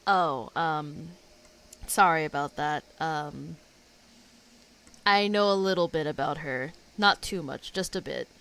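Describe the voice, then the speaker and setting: A woman streamer reacting to a game story, talking casually in slangy, quick asides. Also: sits low, fairly bright tone, slight background noise. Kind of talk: casual live reaction commentary. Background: game music.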